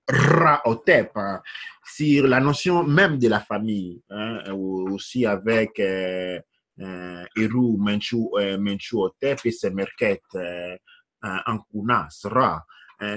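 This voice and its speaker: A man teaches in a didactic lecturing style, hosting the discussion. His voice is husky and breathy. When he gets emphatic his pitch climbs, and he checks in with his listener with a quick "ok?". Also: fairly bright tone. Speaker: teacher of tradition, host.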